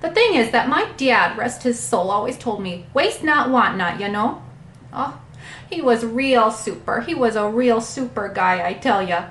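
A woman's voice: fairly high pitch, lively intonation, fairly bright tone, roomy sound, a little hiss or hum. A woman doing a gushing folksy artist. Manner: folksy, gushing, drawn-out exclamations, rambling. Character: chatty folksy Midwestern woman artist, homespun. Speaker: interview guest.

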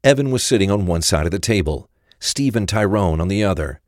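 Guy with a really deep voice narrating a crime thriller audio story, no echo. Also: expressive, fairly quick, clean studio sound.

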